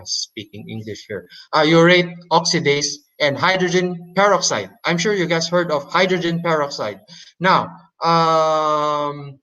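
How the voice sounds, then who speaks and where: A man whose first language is Hokkien Chinese, giving a presentation in English with many fillers, restarts and pauses. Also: mid-range voice, bright, forceful tone, rough recording. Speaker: debate presenter. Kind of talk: halting explanatory presentation.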